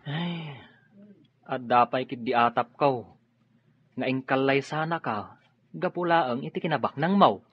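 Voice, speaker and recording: An actor playing a deferential, chatty family driver in a radio drama, exclaiming often and eager to oblige. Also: male voice, medium pitch, measured pace, pauses often, slightly rough, dry recording. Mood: sympathetic. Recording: dull lo-fi radio drama audio.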